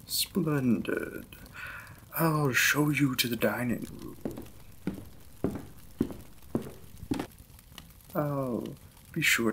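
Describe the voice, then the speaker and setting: A man playing a courteous, reserved Victorian vampire lord in a romantic audio drama, speaking in formal, gentle, polite phrases. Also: medium pitch, vocal fry, a little hiss or hum, heavily acted, low-quality recording. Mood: courteous, attentive.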